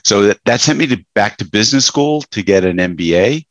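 A man's voice: on the low side, animated, a little fast, dry recording, low-quality recording. A middle-aged man from New York, a business coach telling his life story as a podcast guest, rambling with fillers and restarts. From New York, USA. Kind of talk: casual interview storytelling.

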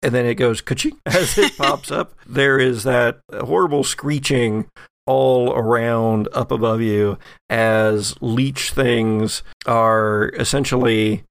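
Creaky-voiced man narrating a horror game. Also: medium pitch, dry recording. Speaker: game master.

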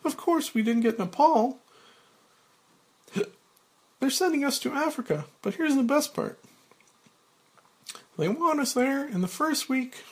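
A man's voice: extremely high pitch, lively intonation, unhurried, long pauses, pitched above the performer's own voice, full character voice. A man voicing an eager, bubbly young woman in a screenplay read-through. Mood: excited. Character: eager, chirpy young woman, idealistic girlfriend.

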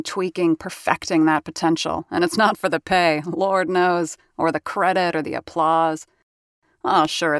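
A woman's voice: sits low, creaky, dry recording, studio quality. A woman playing a wry, seasoned, no-nonsense radio sound-effects worker in an audio drama, talking in conversational asides. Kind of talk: 1930s-set audio drama narration and dialogue.

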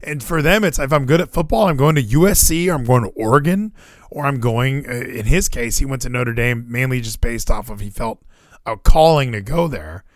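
A man's voice: mid-range voice, brisk, no room sound, studio quality. An American man in casual sports chat, rambling and opinionated, swearing freely as he goes. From Hawaii, United States. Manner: rambling, animated, profane, opinionated.

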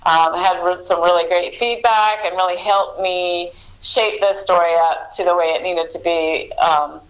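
A woman's voice: on the low side, steady tone, measured pace, rough recording. A woman author being interviewed, talking casually with many fillers and restarts. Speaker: author guest.

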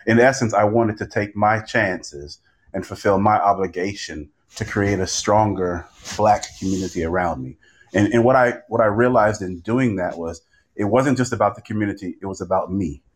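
A man podcaster giving a reflective panel answer in an earnest, deliberate, emphatic way. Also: fairly low pitch.

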